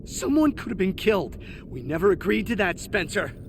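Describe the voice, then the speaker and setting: A man's voice: high-pitched, very animated, a little fast, noisy background. A man playing a conflicted, defensive engineer and protective brother in a video game, earnest and insistent. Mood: angry, alarmed. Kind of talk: dramatic video game voice acting.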